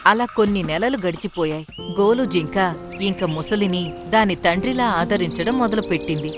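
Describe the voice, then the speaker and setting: A woman's voice: medium pitch, a little fast, over music. A woman narrating a scripted children's story.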